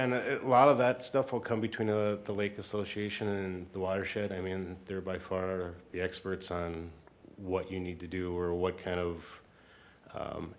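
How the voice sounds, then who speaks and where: A man speaking as a city staff member, explaining things at a council meeting in an informal, halting way. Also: low voice, a little hiss or hum.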